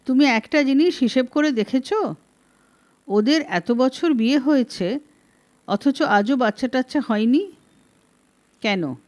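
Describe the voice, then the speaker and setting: A woman's voice: sits high, takes it slowly, pauses often, darkish tone. A woman voicing a scolding, exasperated middle-aged wife in a story, emphatic and reproachful. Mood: reproachful nagging. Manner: emphatic, reproachful, rhetorical questioning.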